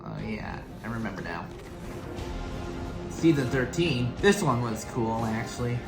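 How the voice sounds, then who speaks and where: A young adult woman giving casual, unscripted reaction commentary in a halting, rambling way. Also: rumbling low, expressive. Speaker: reaction video host. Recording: roomy echo, clear music behind. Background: music from the intros being watched.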